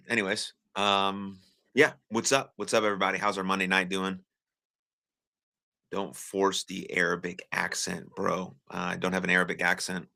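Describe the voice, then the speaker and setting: A man's voice: low voice, fairly even intonation, moderate pace, creaky, bright, forceful tone. An American man hosting a live stream, chatting casually with viewers and reading their comments aloud, friendly and encouraging with lots of filler words. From United States. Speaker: livestream host.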